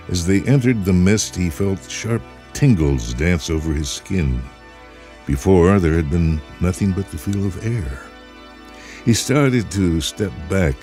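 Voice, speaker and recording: A man's voice: low voice, warm tone. A man reading a speculative short story as a dramatic, scripted narration. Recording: music behind the voice.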